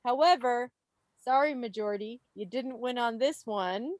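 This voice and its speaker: A woman hosting a club quiz on a video call, reading questions and answers in an expressive, playful way with casual asides. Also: on the high side, moderate pace, no room sound. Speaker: quiz host at a club meeting. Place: online video meeting. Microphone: video call microphone.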